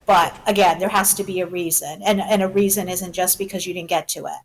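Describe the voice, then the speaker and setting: A woman's voice: lowish voice, steady tone, a little fast, slight background noise, rough recording. Woman explaining budget rules plainly. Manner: explanatory, uses examples. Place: town meeting room.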